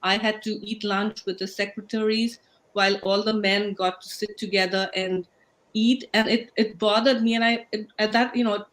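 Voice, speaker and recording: A woman's voice: medium pitch, little pitch movement, normal speed, fairly bright tone, poor audio. Indian-accented woman hosting a panel. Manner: conversational, with frequent restarts. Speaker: host. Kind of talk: panel discussion on a livestream.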